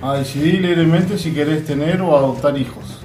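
A man's voice: on the high side, normal speed, darkish tone, faint music behind. A man reading scripted narration aloud as a narrator.

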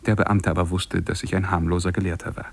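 Deep, gravelly-voiced young scholar narrator. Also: male voice, little pitch movement, exaggerated voice. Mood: fond, measured. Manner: measured, lyrical storytelling. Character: lovestruck harmless young scholar recounting his own story. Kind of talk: literary narration in a German radio play.

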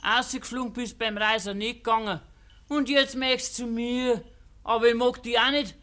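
A man's voice: very high voice, steady tone, normal speed, bright, forceful tone. A man acting a surly, mocking village carter with a Bavarian accent in a 1950s dialect radio drama. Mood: scornful.

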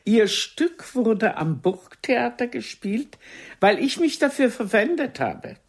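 Husky, imperious ageing stage diva. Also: female voice, a little high, very animated, slow pace, full character voice. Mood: boastful, imperious. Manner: grand, theatrical, cutting, self-important. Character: vain, imperious ageing star stage actress. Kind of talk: radio play dialogue.

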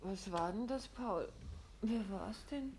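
A woman playing a detective's curious, shrewd and affectionate wife in a 1950s German radio play, conversational and gently probing. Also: mid-range voice, lively intonation, slow, a little hiss or hum. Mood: sleepy, inquisitive.

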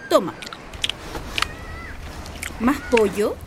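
Radio play: resigned Chilean working-class mother acted by a woman, high-pitched, plain-spoken, light ambience behind. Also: wildly swooping pitch, very slow, full character voice. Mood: homely. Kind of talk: Spanish-language radio drama acting. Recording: light outdoor ambience noise.

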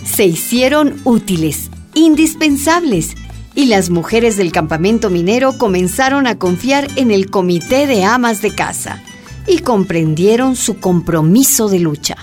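A woman narrating a scripted radio drama in a measured storytelling manner. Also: mid-range voice, expressive, darkish tone, faint music behind.

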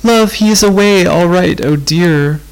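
A man voicing a lonely little girl who sings to herself in the dark in a high, wavering sing-song. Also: measured pace, vocal fry, smooth, low-quality recording. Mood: frightened.

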